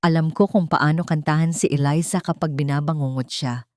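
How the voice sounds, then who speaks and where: A woman's voice: deep voice, fairly even intonation, brisk, a little mellow, dry recording, close to an everyday voice. Woman acting as humble nanny speaking up, heartfelt and polite, audio drama, close dry mic. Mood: heartfelt, brave. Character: humble, brave, devoted young nanny speaking up.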